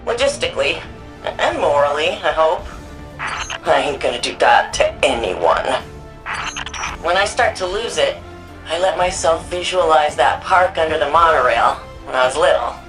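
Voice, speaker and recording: A woman's voice: fairly low pitch, fairly bright tone, low-quality recording. An actress playing a wry, anxious woman archivist and resistance hacker in a sci-fi video game, speaking in a casual, conversational way. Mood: grim, troubled, then anxious, wistful. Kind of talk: video game mission dialogue.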